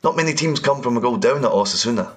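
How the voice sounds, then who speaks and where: A man with a Scottish accent hosting a football podcast, presenting in a measured, scripted way. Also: mid-range voice, lively intonation, soft background music. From Scotland. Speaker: podcast host.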